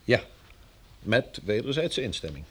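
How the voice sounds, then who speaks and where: Polite but firm pioneer envoy in a radio play, formal, persuasive, super varied intonation. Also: male voice, medium pitch, big pitch swings, unhurried, a bit bright, husky, exaggerated voice. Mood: firm, diplomatic. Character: courteous, firm male envoy of a pioneer community.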